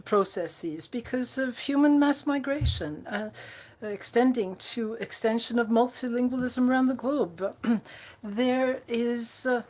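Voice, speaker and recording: A woman interpreting a conference speech live, halting often with fillers and restarts. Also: fairly high pitch, darkish tone. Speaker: simultaneous interpreter.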